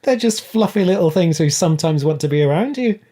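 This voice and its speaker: A man chatting casually as a podcast guest, playful and expressive. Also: on the high side, a little fast. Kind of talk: casual chat.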